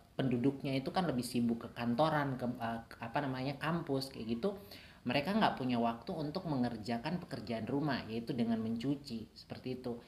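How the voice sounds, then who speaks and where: A woman from Papua, Indonesia, chatting casually in a joking, teasing way as a business owner being interviewed. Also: a bass voice. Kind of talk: casual chat. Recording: echoey room.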